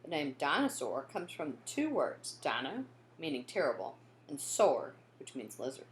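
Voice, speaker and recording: Woman reading as an explanatory museum guide, animated. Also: sits low, normal speed, slight background noise. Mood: informative. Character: museum guide, informative, defensive when challenged. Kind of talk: children's storybook character dialogue.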